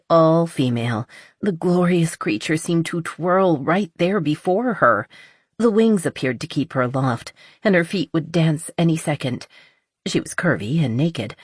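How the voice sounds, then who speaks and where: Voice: mid-range voice, slightly rough, no echo, clean studio sound. A narrator reading a scripted romance audiobook.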